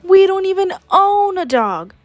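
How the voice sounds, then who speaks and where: A young woman voicing a brisk, firm father in a picture book, with a matter-of-fact manner. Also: extremely high pitch, sing-song, no echo, soft background music, voice pushed up, full character voice.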